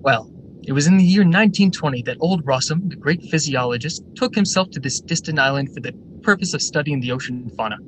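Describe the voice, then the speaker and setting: An actor playing a brisk, eager factory general manager in a radio drama, formal and articulate. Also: male voice, close to an everyday voice. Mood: courteous. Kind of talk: stage-style radio drama acting. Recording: noisy radio drama recording, steady rumble.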